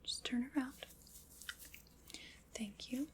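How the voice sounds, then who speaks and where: Woman doing a whispery skeleton armor fitter, bit high, takes her time, calm and methodical. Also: takes it slowly, background noise. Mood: gentle instructive. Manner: soft-spoken, polite step-by-step instructions. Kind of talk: whispered ASMR roleplay.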